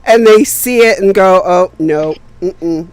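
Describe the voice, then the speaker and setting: A woman's voice: fairly low pitch, slow, darkish tone, slight background noise. A woman on a video call with wry humor, often chiming in with short agreements. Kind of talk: casual group chat.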